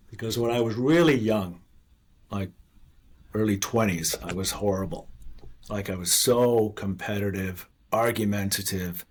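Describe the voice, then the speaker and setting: A man in his sixties from Canada, a former investor being interviewed, telling his story in a candid, earnest way. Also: mid-range voice. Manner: candid, earnest, restarts and self-deprecating laughs. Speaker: guest, former investor and author.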